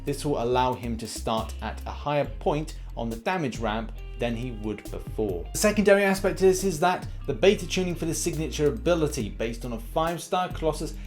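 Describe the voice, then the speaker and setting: A man with a slightly high voice hosts a gaming news show. He delivers his commentary in an emphatic but informal presenter's manner. Music plays softly behind him. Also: animated, fairly quick, few pauses. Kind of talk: scripted news commentary on a mobile game.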